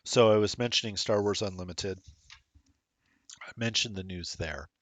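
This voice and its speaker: A man's voice: lowish voice, moderate pace, a bit bright, no room sound. A middle-aged man rambling casually while commentating a streamed tabletop game, self-deprecating and chatty.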